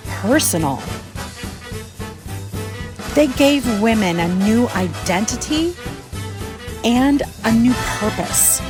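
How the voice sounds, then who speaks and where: A woman reading a scripted educational narration in the manner of a presentation voice-over. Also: mid-range voice. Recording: music behind the voice. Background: music.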